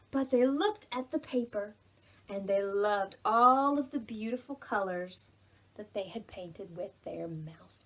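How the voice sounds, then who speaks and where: A woman hosting preschool storytime as a children's librarian, reading aloud and leading action rhymes in an animated, singsong, exaggerated way for small children. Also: fairly high pitch, husky, rough recording.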